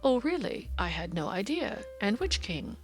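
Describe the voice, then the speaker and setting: Audiobook role: haughty aristocratic gentleman, prematurely aged, stately and wordy, animated pitch female narrator acting him out. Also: normal speed, soft background music. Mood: flustered, apologetic. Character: pompous, petulant, foppish aristocratic English gentleman, prematurely aged. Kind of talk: audiobook character dialogue.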